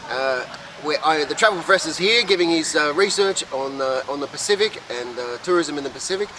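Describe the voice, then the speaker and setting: A man's voice: on the high side, sharp tone. A man hosting an interview in an informal, colloquial way, introducing and asking questions. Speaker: show host and interviewer. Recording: distant mic with clear urban outdoor noise.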